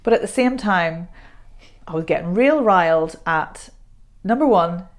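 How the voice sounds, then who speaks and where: A woman, a voice coach chatting as a podcast guest, self-deprecating and quick to laugh. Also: lowish voice, expressive. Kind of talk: casual podcast conversation.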